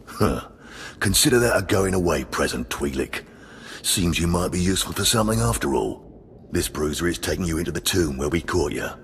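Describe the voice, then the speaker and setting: An actor playing a gruff, casually cruel Sith jailer in a video game, talking in a taunting, offhand way. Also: male voice, sits low, lively intonation, normal speed, fairly bright tone, a little hiss or hum. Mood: mocking. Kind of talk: scripted video game drama acting.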